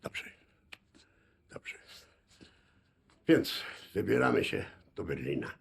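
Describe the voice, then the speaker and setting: An actor playing a boastful Polish man who speaks with slow, menacing bravado in a game cutscene. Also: on the low side, sing-song, heavily acted. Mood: gruff menacing. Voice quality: gravelly, raspy. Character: gruff boastful Polish man, threatening protector.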